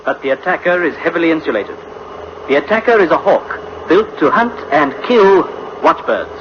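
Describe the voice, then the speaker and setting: An actor playing a terse, grave narrator in a 1960s science fiction radio drama. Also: male voice, on the high side, very animated, moderate pace. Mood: grave, reporting. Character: terse, grave male narrator reporting machine behaviour. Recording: muffled radio buried in loud noise.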